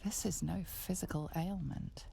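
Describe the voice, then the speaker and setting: Very gravelly, low, solemn healer. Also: female voice, steady tone, measured pace, mellow, slight background noise. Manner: solemn, formal. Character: grave, learned healer diagnosing a cursed mind. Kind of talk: video game character acting.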